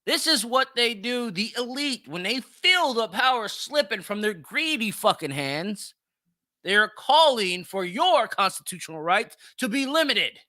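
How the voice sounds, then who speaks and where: A man's voice: extremely high pitch, sing-song, a little slow, bright, forceful tone, no room sound. A man hosting a podcast of opinionated solo commentary, speaking emphatically with plenty of swearing and repeating himself for emphasis. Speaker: podcast host.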